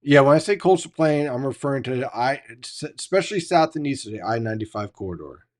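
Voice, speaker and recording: A man who hosts a live weather chat, teaching how storms build and answering viewer questions, his voice going hoarse. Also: medium pitch, animated, fast talker, a little mellow. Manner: explanatory, teacherly, asks "right?" often. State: losing his voice. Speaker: meteorologist hosting a live chat. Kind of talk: live-stream weather explanation and Q&A.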